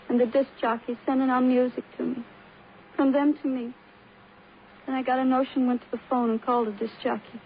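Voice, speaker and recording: A muffled vintage radio drama with faint noise. A frail, brittle American teenage girl acted by a woman talks in a high voice, flippant and dreamy, rambling with a defiant edge. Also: natural voice. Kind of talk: 1950s radio crime drama acting.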